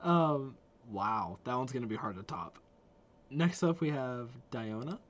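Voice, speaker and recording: An excitable video host giving gushing reaction commentary to game character trailers. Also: medium pitch, measured pace, muffled recording, a little hiss or hum. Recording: game music behind the voice. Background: video game trailer music.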